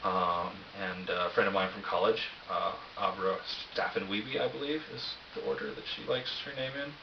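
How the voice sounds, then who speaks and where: Low-voiced man, echoey dull recording. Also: fairly even intonation, a little fast, distant mic. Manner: hesitant, self-deprecating, wry. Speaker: vlogger. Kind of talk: casual rambling convention recap. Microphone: cheap camera, some distance away. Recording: roomy echo, dull cheap camera mic, hiss.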